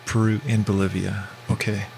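A man's voice: fairly low pitch, level tone, moderate pace, gravelly, darkish tone, a little hiss or hum. A male podcast host asking questions in a hesitant way, with many fillers and restarts. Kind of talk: casual interview.

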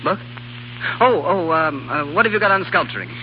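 An actor playing a brash, flirtatious, impulsive bohemian sculptor in a 1930s radio drama. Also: male voice, a little high, expressive, normal speed, natural voice. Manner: impulsive, stammering when flustered, bossy. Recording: muffled, noisy 1930s radio recording.

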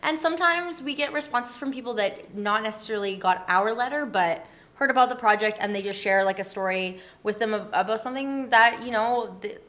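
A woman's voice: on the high side, a little fast, a bit bright. A woman with a Canadian accent chatting casually as an interview guest, telling stories in an informal, rambling and giggly way. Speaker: interview guest, photographer running a letter-writing project. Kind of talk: casual chat.

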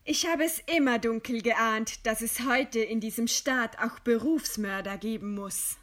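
An actress playing a proud, composed dissident writer in a German radio play, speaking with measured, scornful eloquence. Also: female voice, on the high side, fairly bright tone. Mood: calm irony. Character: condemned dissident woman writer, widowed, proud, defiant, composed.

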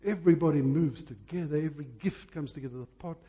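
Bright, husky-voiced male preacher. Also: fairly high pitch. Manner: emphatic, deliberate phrasing with repetition for effect. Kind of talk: sermon.

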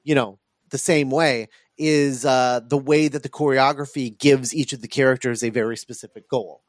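A man co-hosting a film podcast in casual chat, thinking aloud in a halting way. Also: mid-range voice, steady tone, dry recording, studio quality. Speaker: podcast co-host.

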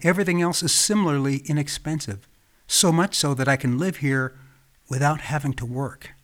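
An American man from Los Angeles, hosting his own podcast with calm, reflective scripted narration. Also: fairly high pitch, normal speed. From Los Angeles, California. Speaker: podcast host.

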